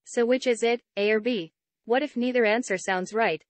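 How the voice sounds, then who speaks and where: A woman reading short English sentences slowly and clearly for learners, repeating each one evenly. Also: fairly high pitch, dry recording.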